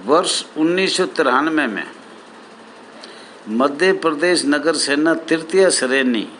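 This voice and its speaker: Slow-speaking man giving a formal address. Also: on the high side, fairly bright tone, a little hiss or hum. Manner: deliberate, repetitive, formal official phrasing. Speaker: association president addressing members. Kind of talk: informational address to members.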